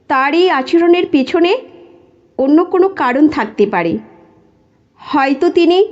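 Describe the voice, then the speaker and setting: A woman narrating a scripted first-person story in a measured, dramatic storytelling manner, with dull lo-fi sound. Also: high-pitched, darkish tone.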